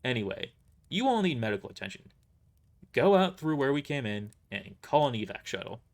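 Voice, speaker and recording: A brash mercenary squad leader, acted by a man for an audio drama. The delivery is boastful and dismissive, loud and casual, with pitch that swings freely. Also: sing-song, fairly quick. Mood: brusque.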